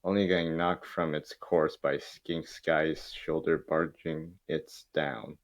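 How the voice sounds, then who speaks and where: A man's voice: rumbling low, flat delivery, slow pace. A man narrating stage directions in an amateur table read, stumbling now and then over the script.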